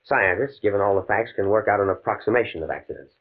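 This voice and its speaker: A man's voice: on the low side, fast, natural voice. A man playing a determined, fatalistic professor in a 1950s radio drama, speaking in a grave, precise way. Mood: measured, intent. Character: desperate, determined male professor, coolly fatalistic scientist. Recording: muffled, band-limited old radio recording.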